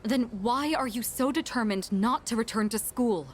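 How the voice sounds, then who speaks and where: An actress playing an earnest high school student council president in a video game, speaking formally and with measured care. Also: female voice, soft background music, lightly acted. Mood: concerned.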